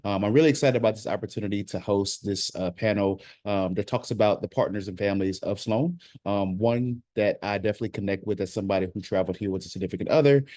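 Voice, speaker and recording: A man hosts an informal panel at a quick clip. His voice sits fairly low, with a welcoming, encouraging manner and a dry sound. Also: warm tone, no echo. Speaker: panel moderator, admissions staffer. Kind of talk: hosting an informal online panel.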